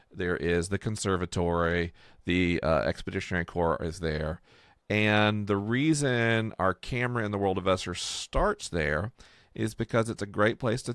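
Low-voiced man explaining in a dry close recording. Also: a bit bright, dry recording. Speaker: podcast host and game creator explaining a fictional world. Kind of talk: casual explanatory discussion.

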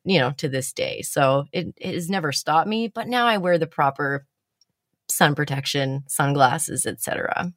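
A woman with a somewhat low voice tells stories in a loose, conversational way. She mocks herself bluntly and swears now and then. The sound is close and dry, with no room around it. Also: normal speed, no echo. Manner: candid, swears, self-mocking humor. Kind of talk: casual storytelling chat.

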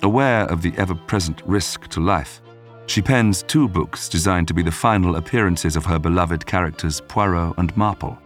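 A man narrating a scripted documentary podcast in a measured, polished storytelling manner. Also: low-pitched, faint music behind.

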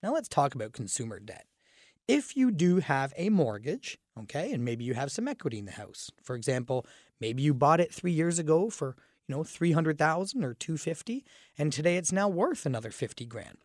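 A man with a raspy voice from a cold hosts a casual radio talk show, chatting with fillers and restarts. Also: on the high side, sing-song, normal speed, no echo, studio quality. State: fighting a cold, groggy. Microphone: studio microphone.

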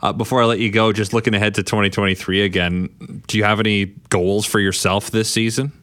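A man hosting a sports radio show who asks brisk, friendly questions. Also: on the low side, level tone, fairly bright tone, no room sound, studio quality.

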